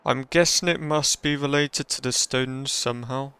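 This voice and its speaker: A man giving casual, low-key, offhand commentary as a gaming streamer. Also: steady tone, normal speed, sharp tone, smooth. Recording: faint game music behind.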